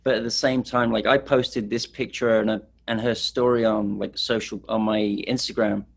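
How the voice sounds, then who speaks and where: Guy rambling about street photos, kinda gravelly voice, lots of ums and likes. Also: on the low side, level tone, moderate pace. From England. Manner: chatty, rambling with fillers. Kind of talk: casual presentation.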